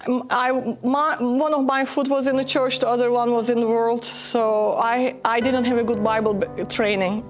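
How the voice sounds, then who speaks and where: High-voiced woman telling her testimony. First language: Turkish. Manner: earnest, emphatic storytelling. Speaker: guest. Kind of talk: personal testimony on a talk show.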